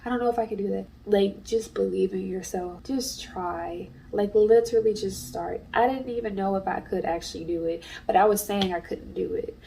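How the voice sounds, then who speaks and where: A woman's voice: mid-range voice, steady tone, normal speed, creaky, hardly any pauses, mellow, distant mic, a little hiss or hum. A congested woman, an artist and small business owner, talking in a chatty, earnest way to camera in a casual vlog. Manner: chatty and earnest, many fillers. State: congested from allergies.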